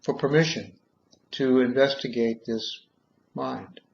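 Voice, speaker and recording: A man guiding a meditation in measured short phrases with long pauses, on a dull, muffled recording. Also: mid-range voice, creaky. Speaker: guided meditation leader. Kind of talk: guided meditation, slow soothing instruction.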